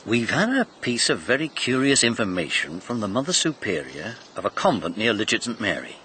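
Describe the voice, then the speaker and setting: A blunt, sceptical British police inspector in a radio mystery. His bright, forceful voice swings very widely in pitch as he makes his plain-spoken points, with some noise behind him. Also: huge pitch swings, fairly quick, exaggerated voice. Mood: informative.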